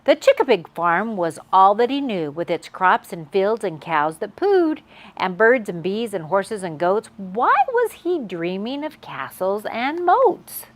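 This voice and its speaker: A woman's voice: medium pitch, very animated, unhurried. A woman hosting a children's read-aloud, telling a picture-book story expressively to young viewers.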